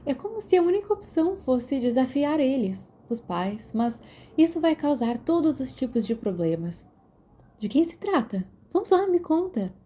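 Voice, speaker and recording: Lady reading as smart teen witch girl, frank and pointed but kind, mellow voice, audiobook dialogue. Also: high-pitched, very animated, moderate pace, slightly rough, pitched above the performer's own voice, exaggerated voice. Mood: curious, concerned. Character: outspoken, clever, kind teenage witch girl.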